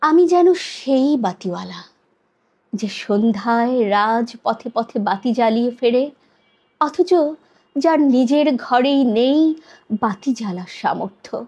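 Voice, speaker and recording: A woman gives a dramatic poetry recitation in a somewhat high voice. She takes her time, moving very slowly through each phrase. Her delivery is solemn and measured, with deliberate pauses. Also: lively intonation, a little mellow.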